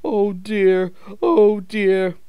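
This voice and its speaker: Man voicing a proud red steam engine, high emphatic cries, dry close sound. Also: extremely slow, mellow, smooth, no room sound. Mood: sad.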